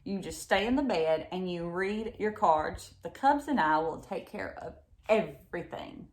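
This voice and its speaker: A woman's voice: fairly low pitch, lively intonation, unhurried, roomy sound. A woman voicing a kindly, reassuring father bear in a children's storybook, speaking emphatically.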